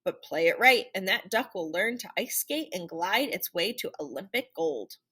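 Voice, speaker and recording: A woman reading a children's picture book aloud in an animated, theatrical storytelling manner. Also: mid-range voice, moderate pace, breathy edge. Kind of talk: expressive children's read-aloud narration.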